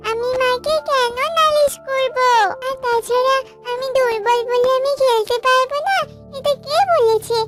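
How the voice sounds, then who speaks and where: A woman's voice: very high voice, steady tone, measured pace. A woman voicing a frail little girl bird in a cartoon, whining and plaintive, repeating her words as she pleads. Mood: hurt, pleading. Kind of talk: children's cartoon voice acting. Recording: loud music behind the voice.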